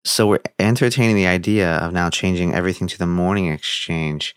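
A man's voice: low-pitched, a little fast, clear, resonant tone, no room sound, studio quality. A young man hosting a casual podcast from home over a video call, laid-back and prone to stumbling restarts. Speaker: podcast host. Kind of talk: casual chat.

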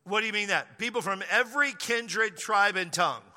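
A man's voice: sits high, normal speed, pushed and bright. A man preaching a sermon as pastor of his church, driving points home with emphatic repetition. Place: church sanctuary. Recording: long reverb of a hall.

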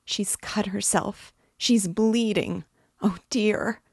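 A woman's voice: mid-range voice, steady tone, slow pace, breathy edge, no room sound, clean studio sound, voice pushed up, exaggerated voice. A woman voicing an anxious, distressed young woman in an audiobook, crying and imploring. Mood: tearful shock. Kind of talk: dramatic audiobook character reading.

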